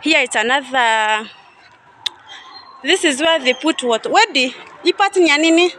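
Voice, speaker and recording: A woman's voice: high voice, unhurried, a bit bright, slight background noise. A young woman chatting casually as she walks through a coffee farm, thinking aloud and often unsure. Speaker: vlogger touring a coffee farm. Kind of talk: casual guided walk-through. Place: outdoors at a coffee farm and factory. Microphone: phone camera held by speaker.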